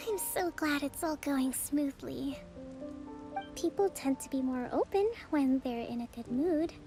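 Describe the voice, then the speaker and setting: A gentle young island leader. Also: female voice, high-pitched. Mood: concerned. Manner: soft-spoken, composed, gentle. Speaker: leader giving a speech. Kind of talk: video game voice acting. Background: background music.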